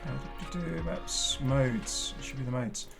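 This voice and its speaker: An enthusiastic man with a middle-pitched voice hosts a gaming video, reacting off the cuff in a casual, chatty style. He tends to exclaim as he comments on what he is looking at. Music plays faintly behind him. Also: moderate pace, gravelly. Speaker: gaming channel host and commentator. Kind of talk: casual unscripted reaction commentary on game cosmetics.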